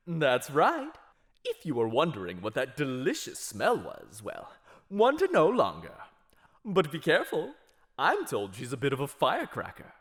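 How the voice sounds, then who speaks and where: A man's voice: on the high side, huge pitch swings, measured pace, long pauses, fairly bright tone, full character voice. A man playing a suave, playful vampire auctioneer in an audio roleplay, with showy, courteous patter. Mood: showy, teasing.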